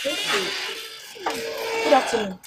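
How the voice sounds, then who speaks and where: A woman's voice: extremely high pitch, very animated, extremely slow, over loud music, heavily acted, rough recording. An actress with a Nigerian accent playing a bewildered, desperate mother in an emotional film melodrama, insistently questioning and pleading. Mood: worried confusion.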